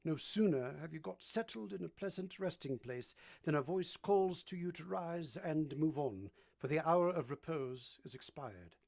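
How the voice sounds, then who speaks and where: A man playing a brooding, commanding gentleman who is both teasing and ardent, in a theatrical vintage-style radio drama. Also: on the high side, expressive, moderate pace, gravelly, few pauses. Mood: probing, teasing.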